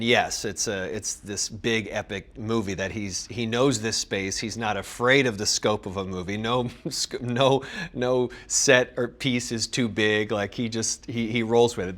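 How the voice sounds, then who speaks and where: A man with a low voice talking earnestly and with real enthusiasm in an interview. He often starts a sentence over as he goes. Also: normal speed. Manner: earnest, animated, restarts often. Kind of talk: casual junket interview.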